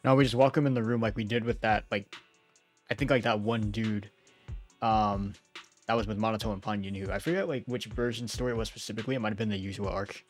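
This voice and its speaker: A male game streamer with a fairly low voice gives casual commentary and reads aloud over clear music. His delivery is informal, jokey and a bit rambling. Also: steady tone, fast talker. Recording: music behind the voice.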